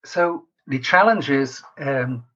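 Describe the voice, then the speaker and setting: Man, mid-pitched voice, interviewed researcher, measured explanatory answers, pauses mid-sentence, slightly muffled lo-fi recording. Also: animated, fairly bright tone. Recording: slightly dull lo-fi call audio.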